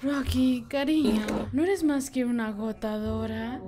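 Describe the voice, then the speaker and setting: Casting: woman as elegant cartoon cat club owner, Latin American accent, highish, slow, wry, light music underneath. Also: close to an everyday voice. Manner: languid, wry. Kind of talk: animated cartoon fandub.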